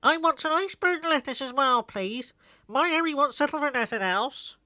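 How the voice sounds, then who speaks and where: A man's voice: very high-pitched, expressive, normal speed, sharp tone, dry recording, studio quality, pitched above the performer's own voice, exaggerated voice. A man voicing a homely village woman in an audio drama, using rustic dialect grammar. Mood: brisk, homely. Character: village women, a postmistress and her fussy customer.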